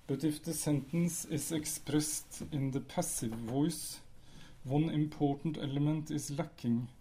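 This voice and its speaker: A man whose first language is Norwegian, giving a measured academic lecture with pauses and occasional fillers. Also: fairly high pitch, warm tone, low-quality recording.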